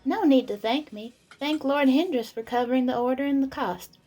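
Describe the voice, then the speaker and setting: High-voiced, chirpy tavern server. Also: female voice, moderate pace, warm tone, faint music behind. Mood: cheerful. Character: cheery, obliging tavern serving woman. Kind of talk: audio drama acting.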